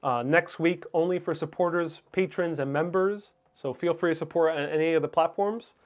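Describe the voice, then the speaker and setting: A man with a slightly high voice hosts a casual livestream chat. He is a native Spanish speaker who thinks aloud, pausing and musing as he responds to viewers' comments. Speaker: livestream host.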